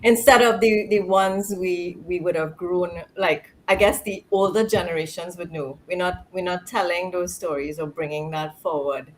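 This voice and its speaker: Lower-voiced trini woman, thinks out loud, halting, faint hiss behind. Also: steady tone, poor audio. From Caribbean. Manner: thoughtful, halting, laughs lightly. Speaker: guest. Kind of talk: conversational interview.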